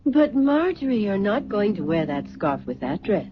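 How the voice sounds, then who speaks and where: An actress playing a vain, imperious middle-aged fashion designer in an old-time radio drama, grand and condescending. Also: female voice, medium pitch, very animated, normal speed, noisy background, close to an everyday voice. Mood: scornful. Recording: muffled vintage radio recording.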